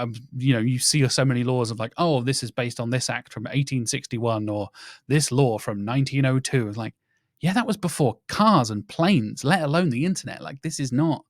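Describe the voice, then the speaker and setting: A man hosting a podcast, talking in a casual, animated and rambling way and acting out little quoted exchanges. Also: mid-range voice, no room sound, clean studio sound. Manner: animated, rambling, quotes others in mock voices. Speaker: podcast host.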